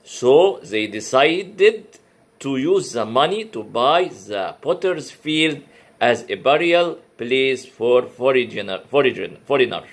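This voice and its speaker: A man whose first language is Arabic gives a religious lecture in careful, non-native English, pausing often with fillers and restarting words. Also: medium pitch, expressive. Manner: halting, many uh fillers and restarts. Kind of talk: comparative religion talk.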